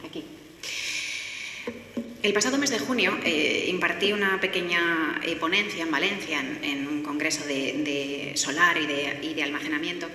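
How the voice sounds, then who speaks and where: A middle-aged woman from Galicia with an accent from Spain, giving a semi-formal public talk in an explanatory, structured way with hesitant pauses. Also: low voice, sharp tone. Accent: Spanish from Spain. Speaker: lawyer giving a forum talk. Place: indoor forum hall. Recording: echoey hall, faint noise, roomy.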